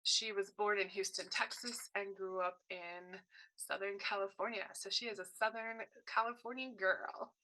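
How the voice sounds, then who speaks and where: A woman's voice: medium pitch, fairly even intonation, normal speed, pushed and bright, rough recording. A woman voicing a deaf presenter's signed workshop talk in short, broken phrases, often correcting herself mid-sentence. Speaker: sign language voice interpreter.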